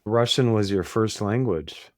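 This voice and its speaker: Deep-voiced man hosting a podcast. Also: a little slow, a little mellow, no room sound. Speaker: podcast host. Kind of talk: interview conversation. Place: room with bookshelves. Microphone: video call.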